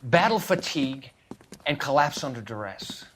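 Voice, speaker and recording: A man's voice: mid-range voice, animated, normal speed, fairly bright tone, slight background noise, close to an everyday voice. Actor as earnest young man, polite. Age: young adult. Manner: polite, hesitant, deferential. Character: earnest young male medical student, war veteran.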